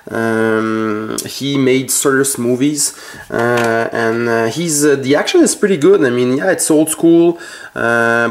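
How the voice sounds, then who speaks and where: A man with a French Canadian accent, his first language French, showing his collection in his home basement and talking haltingly with many fillers and self-corrections. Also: medium pitch. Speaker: collector vlogger. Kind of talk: casual collection tour. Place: home basement full of shelves.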